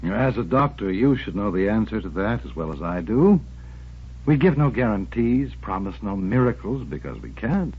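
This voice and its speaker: Husky, probing radio-drama psychoanalyst. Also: male voice, lowish voice, sing-song. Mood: frank, firm. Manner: measured, questioning, professional. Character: calm, probing, authoritative male psychoanalyst. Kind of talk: 1940s radio drama acting. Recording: tinny, narrow old radio broadcast.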